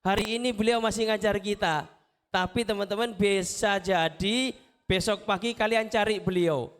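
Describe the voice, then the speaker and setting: A man's voice: sits high, steady tone. A man giving emotional, repetitive motivational and devotional talk to schoolchildren. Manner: pleading, repetitive phrasing with drawn-out invocations. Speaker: motivational speaker and storyteller for schoolchildren. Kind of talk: emotional led prayer and motivational talk to children. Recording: loud music under the voice. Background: loud instrumental music.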